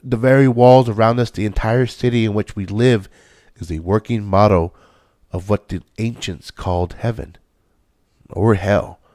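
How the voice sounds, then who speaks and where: A man playing an earnest male scientist in an audio drama, explaining things in deliberate phrases. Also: on the low side, fairly even intonation, a little mellow, dry recording, close to an everyday voice. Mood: urgent, explaining.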